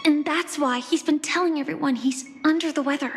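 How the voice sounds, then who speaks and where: A sweetly high-pitched girl from a game, talks politely and earnestly. Her gentle manner has a quiet resolve, and her words ring in long reverb over music. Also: steady tone, fairly quick, creaky. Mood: sincere, resolute. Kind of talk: video game character dialogue.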